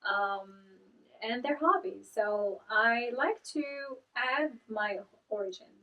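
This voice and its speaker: A woman in her thirties from Morocco, Arabic her first language, answering interview questions thoughtfully and modestly with frequent pauses. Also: on the high side, lively intonation, sharp tone, far from the mic, lo-fi sound. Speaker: guest, author and entrepreneur.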